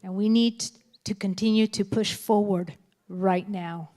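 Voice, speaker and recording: A woman's voice: mid-range voice, a little slow. Frank keynote woman in her fifties. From California, United States. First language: Spanish. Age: 50s. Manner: frank, lively, talks to the audience directly. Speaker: keynote speaker. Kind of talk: candid motivational speech. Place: school assembly stage.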